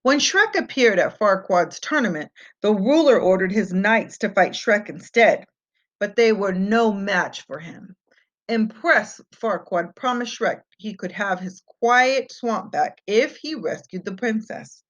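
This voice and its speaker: A woman reads a scripted fairy tale for children. Her voice sits at a middle pitch and she stresses words expressively as a storyteller. The home recording is a bit dull and lo-fi. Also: animated, measured pace. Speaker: storybook reader. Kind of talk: scripted children's read-aloud narration.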